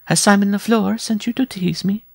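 A man's voice: sits high, moderate pace, gravelly, husky, dry recording, studio quality, voice pushed up, full character voice. A man voicing a big, robust French circus giantess from a storybook, teasing and theatrical, with coy, mock-polite manners. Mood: amused, teasing. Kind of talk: storybook character voice.

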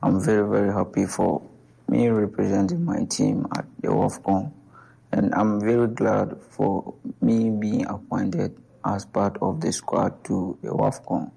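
A woman footballer giving earnest, thankful interview answers in a gravelly voice. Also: very deep voice, level tone, unhurried, dark tone, low-quality recording. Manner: earnest, thankful, repetitive phrasing. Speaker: national team footballer.

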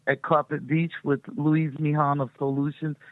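A woman's voice: deep voice, level tone, moderate pace, no room sound. A middle-aged woman, a musician guest, talking effusively and laughing often in a casual interview. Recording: tinny phone line.

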